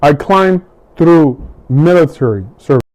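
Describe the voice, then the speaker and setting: A middle-aged man from the United States giving a measured, deliberate campaign speech, pausing between each item he lists. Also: a little high, lively intonation, darkish tone. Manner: measured, deliberate, with long pauses between list items. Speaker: political hopeful.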